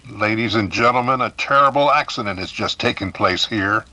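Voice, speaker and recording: A man playing a rattled radio announcer in a 1930s-style mystery drama, formal but urgent, with a husky voice. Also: on the low side, normal speed, pushed and bright, low-quality recording. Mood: shaken. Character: rattled male radio announcer keeping the broadcast going.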